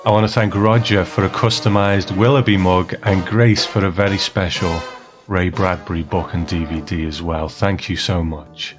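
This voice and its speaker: A man hosting a podcast, narrating in a measured, appreciative manner from prepared remarks. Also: low voice, steady tone. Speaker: podcast host. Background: music.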